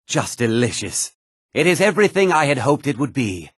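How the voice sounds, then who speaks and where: An actor playing a pompous, self-satisfied emperor in a fantasy game, speaking in a grand, measured manner. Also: male voice, mid-range voice, very animated, fairly bright tone, husky, no echo, studio quality. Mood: pompous, pleased.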